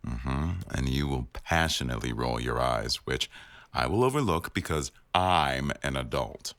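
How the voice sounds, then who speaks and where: A man acts out an attentive, dry-witted executive boss for an intimate audio roleplay. His voice sits extremely low with a gravelly fry. He speaks in a measured, gently teasing way, recorded close and dry with no room sound. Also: expressive, no echo, full character voice. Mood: dryly teasing. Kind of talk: intimate boyfriend ASMR roleplay.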